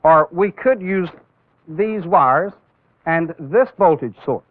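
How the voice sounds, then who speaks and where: A man's voice: a little high, lively intonation, no room sound. Tinny, band-limited male training-film instructor. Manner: deliberate, step-by-step explaining with emphasis on key words. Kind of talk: instructional demonstration lecture. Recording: tinny phone-like old film soundtrack.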